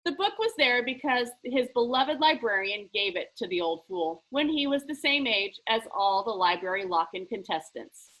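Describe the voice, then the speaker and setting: A woman reading a children's novel aloud in an expressive, animated storybook style. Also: on the high side, moderate pace, few pauses, low-quality recording.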